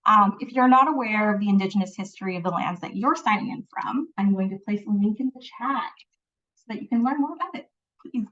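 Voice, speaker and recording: A woman's voice: medium pitch, a little fast, fairly bright tone. A woman hosting a webinar over a video call, giving an earnest scripted welcome and land acknowledgement with light laughs. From Philippines. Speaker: webinar host. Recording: distant, dull lo-fi call audio.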